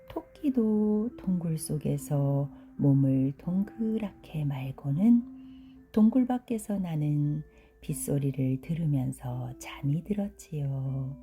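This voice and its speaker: A woman narrating a children's bedtime story in a gentle, hushed, soothing way, drawing out her words. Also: deep voice.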